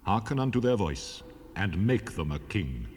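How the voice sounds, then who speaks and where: An actor playing God as a majestic, solemn, commanding voice in a dramatized scripture reading. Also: male voice, on the low side, sing-song, unhurried, darkish tone. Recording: hissy 1970s cassette rip.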